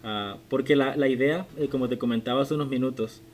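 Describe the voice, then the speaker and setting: A man in his late twenties hosting a podcast interview, asking measured questions with occasional restarts. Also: mid-range voice, a little hiss or hum. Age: 20s. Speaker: podcast host. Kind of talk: conversational interview.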